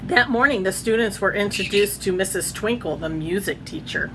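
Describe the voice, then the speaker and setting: A woman reading a children's picture book aloud in an expressive storytelling manner, chatting in asides to her pet. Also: medium pitch, normal speed, background noise. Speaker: read-aloud host, teacher.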